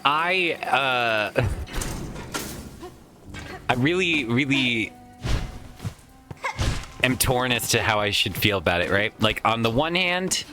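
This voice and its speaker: A man's voice: medium pitch, expressive, takes it slowly, pauses often, music in the background. A man casually commenting while playing a video game, chatty and rambling with some swearing, his voice tired from a lot of recent use. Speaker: let's play host.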